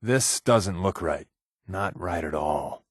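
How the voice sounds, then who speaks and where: An American actor voicing a sly, sardonic undercover spy companion in a video game, tossing out wry, casual quips. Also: male voice, low-pitched, animated, takes it slowly, breathy edge, no room sound, studio quality, heavily acted. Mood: low, wary. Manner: wry, sarcastic, casual quipping.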